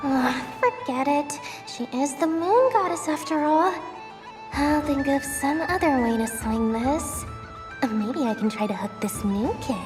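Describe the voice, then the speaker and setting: A woman voicing an excitable, greedy young merchant in a video game, theatrical and exclamatory, with wheedling sales talk. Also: high voice, a little slow, rough recording. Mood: exasperated scheming. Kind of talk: animated video game acting. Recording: loud music behind the voice.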